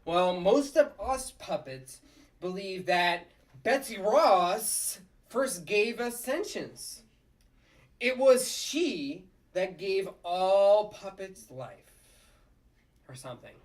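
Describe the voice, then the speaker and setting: A woman voicing a gruff, excitable, boastful male puppet in a sitcom table read, with blustery exclamations. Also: mid-range voice, takes it slowly, distant mic. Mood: gruff, wry.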